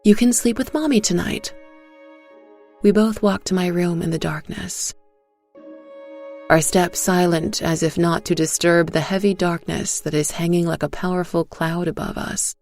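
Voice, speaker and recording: A woman narrating a dark scripted tale as a storyteller, in a deliberate, suspenseful way. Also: lowish voice, fairly even intonation, soft background music.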